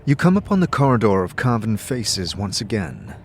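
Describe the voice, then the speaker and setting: A man reading scripted second-person fantasy narration in a measured, descriptive storytelling way. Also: on the low side, big pitch swings, a little hiss or hum. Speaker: narrator, game master.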